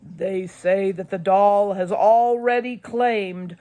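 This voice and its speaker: A woman voicing a showman-like father who relishes creepy tales, telling them in a dramatic, drawn-out way in a children's audiobook. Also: medium pitch, fairly even intonation, mellow, natural voice. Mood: ominous, relishing.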